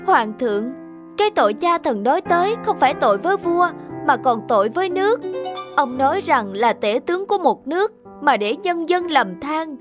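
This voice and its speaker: A woman's voice: sits high, very animated, normal speed. A woman voicing the solemn, humble grown son of a royal minister in a historical cartoon, formal and deferential. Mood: sorrowful, solemn. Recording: music behind the voice.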